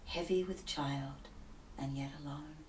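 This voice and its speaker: A woman voicing a wise and compassionate male god of water, giving slow, grave commands. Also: low voice, darkish tone, slight background noise. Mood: solemn. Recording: roomy echo, distant mic.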